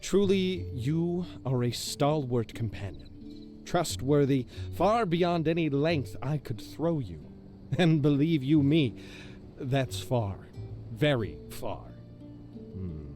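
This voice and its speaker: A grandiose, mock-heroic protector from a video game, boastful on top and insecure underneath. The voice is fairly high and swings widely in pitch. Phrases are slow and stretched out for emphasis, with faint music behind. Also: male voice, very animated, a little mellow. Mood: solemn, confiding. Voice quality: theatrical, exaggerated delivery. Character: pompous, boastful self-styled protector hero, insecure underneath.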